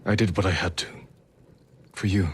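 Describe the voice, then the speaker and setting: An actor playing an earnest, brooding, loyal samurai warrior in a game cutscene, with a restrained, serious delivery. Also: male voice, sits low, fairly even intonation, normal speed, a little hiss or hum, close to an everyday voice. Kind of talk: dramatic game cutscene acting.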